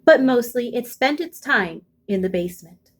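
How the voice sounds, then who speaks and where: A woman reading a children's picture book aloud expressively, with deliberate, suspenseful phrasing. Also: on the high side, expressive, slight background noise. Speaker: storybook reader.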